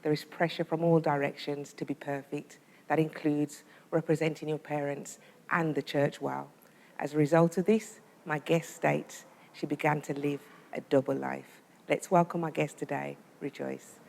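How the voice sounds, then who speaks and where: A woman's voice: low voice, little pitch movement, normal speed, vocal fry. A woman hosting an interview show, opening it and asking her guest questions in a casual way. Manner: warm affirming listener, frequent short "yeah"s. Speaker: host. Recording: long reverb, faint noise.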